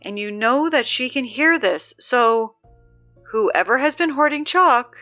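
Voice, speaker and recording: A woman's voice: on the high side, animated, measured pace, muffled recording, faint music behind. An actress playing a teenage girl who reads school announcements over the speakers, dry and exasperated. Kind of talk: audio drama reading school announcements.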